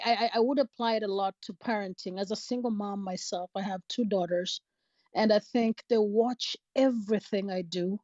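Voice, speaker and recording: A woman's voice: mid-range voice, darkish tone, dry recording. A woman shares her thoughts earnestly, often stopping on fillers. Her voice sits close and dry, with no room around it. The audio is muffled and lo-fi, like an online voice room. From Kenya. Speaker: guest speaker. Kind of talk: casual reflective talk.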